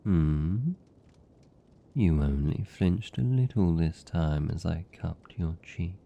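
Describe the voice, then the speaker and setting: A man with a British accent playing a seductive, possessive vampire captor in an intimate ASMR roleplay, hushed and deliberate, tender yet menacing. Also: a little hiss or hum, heavily acted. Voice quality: gravelly, dark, low. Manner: slow, hushed, deliberate, teasing.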